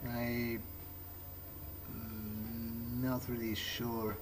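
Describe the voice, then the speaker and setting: A man's voice: medium pitch, monotone, extremely slow, background noise. A man doing in-character game commentary, musing aloud in a hesitant way. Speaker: gamer roleplaying a character.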